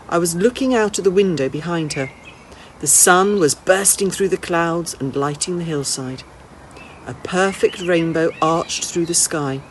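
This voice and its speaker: Woman reading a children's story, very expressive pitch. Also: slight background noise. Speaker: storybook reader, school staff. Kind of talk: expressive narration of a children's novel.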